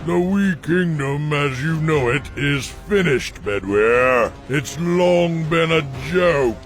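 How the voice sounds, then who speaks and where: An actor voicing a haughty, menacing dragon who has seized a throne, speaking pompously for a cartoon. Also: male voice, fairly high pitch, animated, faint music behind. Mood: menacing, grandiose. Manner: pompous, slow, grandiose. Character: mighty villainous dragon usurper king, haughty and menacing.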